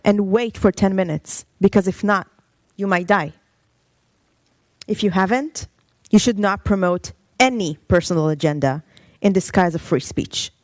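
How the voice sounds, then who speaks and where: A woman from Israel speaks with urgent, pointed questions in an impassioned public comment. Her voice sits at an ordinary pitch, and the podium microphone gives a close, dry sound. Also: no echo. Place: council chamber.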